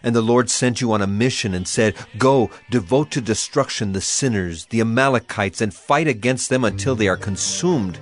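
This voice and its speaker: An actor playing a stern old prophet in a dramatized scripture reading, grave and declamatory. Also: male voice, mid-range voice, normal speed, few pauses, faint music behind, natural voice. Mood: stern, reproachful. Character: stern old male Hebrew prophet rebuking a king.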